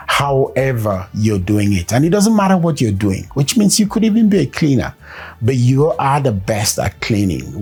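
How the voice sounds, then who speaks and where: A man from Cameroon, a performance consultant and coach, giving measured interview answers with many pauses and fillers. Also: mid-range voice, darkish tone, breathy edge.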